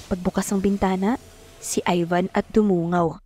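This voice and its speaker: A woman's voice: mid-range voice, steady tone, moderate pace, mellow, natural voice. A woman playing a shy, smitten young woman narrating an audio drama, with a Filipino accent. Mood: reflective.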